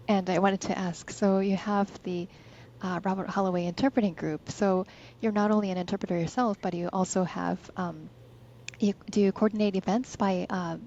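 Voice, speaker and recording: A woman's voice: mid-range voice, dark tone, highs cut off, a little hiss or hum. A woman hosting an interview, asking questions with many fillers and encouraging replies. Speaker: podcast host. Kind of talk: interviewing a guest.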